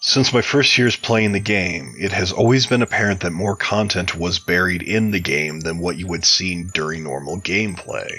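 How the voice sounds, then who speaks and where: Deep, crisp-edged male explainer. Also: pushed and bright. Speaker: game modder being interviewed. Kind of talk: measured explanatory answers, sounding read from prepared text.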